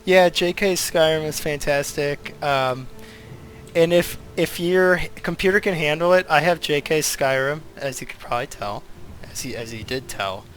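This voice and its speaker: A man's voice: fairly high pitch, moderate pace, fairly bright tone. A man giving casual gameplay commentary as a streamer, rambling and thinking aloud as he goes. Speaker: game streamer. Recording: game music behind the voice. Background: video game music.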